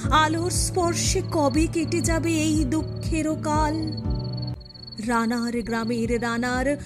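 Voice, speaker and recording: A woman reciting a poem in a dramatic, expressive style, with measured, emphatic phrasing and drawn-out words. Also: high voice. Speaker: poetry reciter. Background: music.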